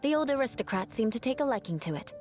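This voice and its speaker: Brief: actress as a haughty, sardonic young knight for a game, lively intonation. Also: female voice, fairly high pitch, fast talker, faint music behind, close to an everyday voice. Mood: wry. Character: proud aloof young woman knight.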